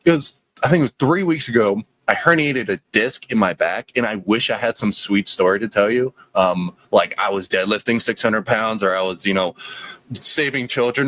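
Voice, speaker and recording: A man in his late twenties rambles through a casual podcast monologue, self-deprecating and full of fillers and restarts. His voice sits at an ordinary middle pitch. The recording is dry and close, with no room sound. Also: fairly bright tone, dry recording, low-quality recording. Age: 20s. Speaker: podcast host.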